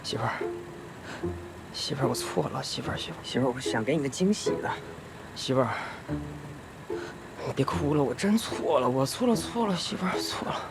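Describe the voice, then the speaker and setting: A man's voice: mid-range voice, expressive, a little fast, vocal fry, long pauses, loud music behind the voice, rough recording. A man playing an optimistic, coaxing young husband in a screen drama, colloquial. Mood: frantic apology. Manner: coaxing, repetitive pleading, colloquial. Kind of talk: naturalistic screen drama acting.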